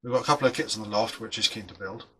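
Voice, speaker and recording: A middle-aged man talks casually while he works on a model at his bench. His voice is bright and forward. He rambles and restarts his sentences, and there is a faint hiss of noise behind him. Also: fairly low pitch, very fast. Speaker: model aircraft builder and channel host.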